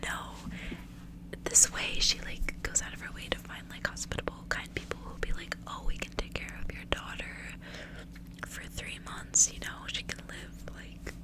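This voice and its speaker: Woman whispering softly in breathy ASMR. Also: normal speed. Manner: casual, rambling, frequent filler "like". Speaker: ASMR host reading a book aloud. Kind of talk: whispered ASMR reading and casual chat. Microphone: close ASMR microphone.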